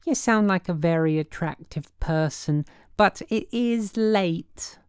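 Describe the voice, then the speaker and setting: A woman's voice: on the low side, takes it slowly, a little mellow, clean tone, no echo, studio quality, voice pushed up. A woman voicing a brassy working gal in an audiobook, slangy and suspicious, with affectedly genteel airs. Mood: flirty, gracious.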